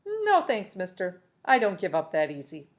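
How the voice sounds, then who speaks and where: A woman's voice: medium pitch, huge pitch swings, moderate pace. A woman voicing a determined, politely stubborn schoolboy in a storybook, earnest and bold.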